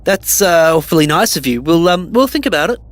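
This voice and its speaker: A man's voice: on the high side, lively intonation, a little fast, dry recording, close to an everyday voice. An actor playing a cautious, practical male survivor in a dystopian audio drama, casual and placating. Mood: tentative.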